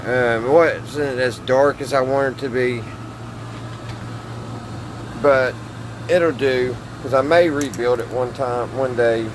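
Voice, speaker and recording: A man's voice: mid-range voice, steady tone, slow pace, long pauses, noisy background. A middle-aged man chatting casually and thinking aloud in a folksy, hesitant way as he demonstrates hands-on tinkering. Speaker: host of a hobby radio repair channel. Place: small wood-panelled indoor workshop.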